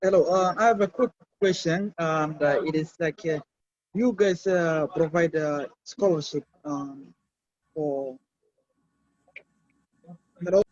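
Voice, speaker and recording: A man's voice: on the high side, no room sound. Hesitant man on a lo-fi call. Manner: hesitant, halting. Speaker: webinar participant. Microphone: video call. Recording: dull, dry, lo-fi.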